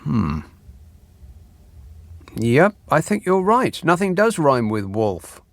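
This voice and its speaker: A man hosts a late-night radio phone-in and reads listeners' texts aloud. His delivery is dry and deadpan, and his sarcastic asides come close on a bone-dry studio mic. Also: fairly high pitch, slow, vocal fry, no room sound. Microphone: studio microphone.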